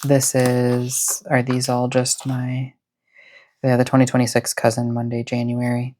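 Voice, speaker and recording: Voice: mid-range voice, monotone, unhurried, mellow, slight background noise. A person chatting casually as the host of a cozy hobby vlog, with a soothing voice and a chill, rambling, encouraging manner. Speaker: host of a planner and stationery channel. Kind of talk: casual cozy chat to camera.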